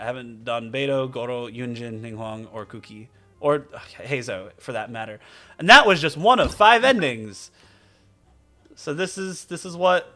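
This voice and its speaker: A man's voice: mid-range voice, bright, forceful tone. A man giving casual, comedic gameplay commentary as a streamer, talking in a rambling, joking way. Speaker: game streamer.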